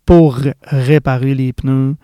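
A man's voice: mid-range voice, little pitch movement, dark tone, clear, resonant tone, no room sound, clean studio sound. A middle-aged man with a Quebec French accent, a podcast guest talking casually about his work, rambling and restarting often. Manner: rambling, halting, many restarts. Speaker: podcast guest, tire repair specialist. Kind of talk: casual interview chat.

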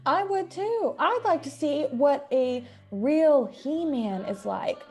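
A domineering, ridiculing wife, played by a woman in a radio drama. She speaks very high and takes her time, drawing out each theatrical jab with heavy sarcasm. Faint music sits underneath. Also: expressive, takes it slowly, darkish tone, full character voice. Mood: mocking. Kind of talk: radio play acting.

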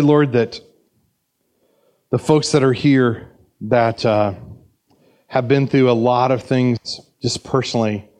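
A man's voice: mid-range voice, a little mellow. A man preaching a sermon at a church service, chatty and joking, with frequent fillers and restarts. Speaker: pastor. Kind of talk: sermon with anecdotes.

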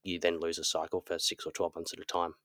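Low, fast-talking man with a slightly croaky voice. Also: no echo, clean studio sound. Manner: quick, relaxed, conversational, with frequent fillers. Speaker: podcast co-host. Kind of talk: casual podcast outro chat.

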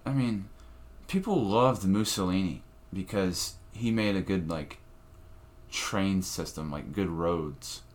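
A young comedian talks on a podcast in a low voice, wandering from point to point. He swears freely and restarts his sentences often, with a loose, casual delivery. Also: slow. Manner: rambling, profane, restarts often.

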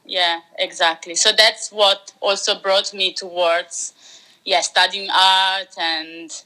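Bright-voiced young woman guest. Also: mid-range voice, slow, poor audio. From Italy. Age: young adult. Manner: relaxed, loosely strung sentences with fillers. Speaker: podcast guest, art graduate. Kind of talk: casual conversational interview.

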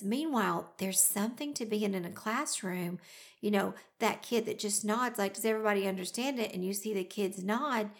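Gravelly-voiced woman podcast host. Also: mid-range voice. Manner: chatty, laughs often. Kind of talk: casual chat.

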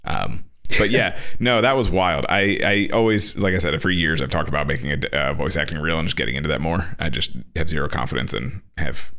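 A man's voice: a bass voice, fairly quick, sharp tone. A young adult man co-hosting a podcast, chatting casually in a loose, joking way full of swearing. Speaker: podcast co-host.

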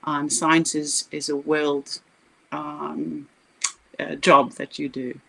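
Bright-voiced South African woman. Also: sits low, flat delivery, slow, vocal fry, rough recording. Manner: earnest, emphatic, passionate storytelling. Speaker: guest scientist, professor. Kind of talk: conversational podcast interview.

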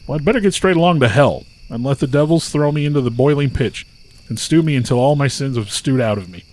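A man's voice: medium pitch, fast talker, darkish tone, natural voice. A man voicing an old soldier in a folk tale, speaking plainly and resignedly. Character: weary old male soldier, sinner.

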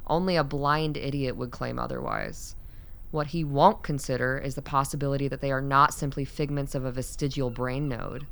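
A man's voice: mid-range voice, steady tone, moderate pace, gravelly, a bit bright. A man playing a cynical, zealous intelligence operative in a radio play. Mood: cynical, insistent.

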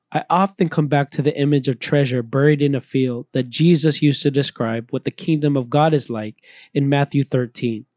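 A man reading a scripted, reflective personal talk in an earnest, measured way. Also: mid-range voice, warm tone, no echo, studio quality. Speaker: missionary speaker.

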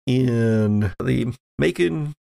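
An American man acts as game master, narrating scenes off the cuff. His mid-pitched voice has a gravelly creak, and he feels his way haltingly through each description. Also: expressive, warm tone. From Texas, USA. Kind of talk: improvised tabletop game narration.

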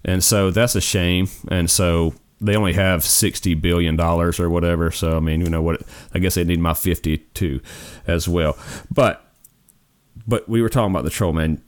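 A man's voice: low voice, steady tone, moderate pace, clear, resonant tone. A forty-year-old man co-hosting a gaming podcast in a rambling, easygoing casual chat, often tossing in "man". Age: 40s. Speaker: podcast co-host.

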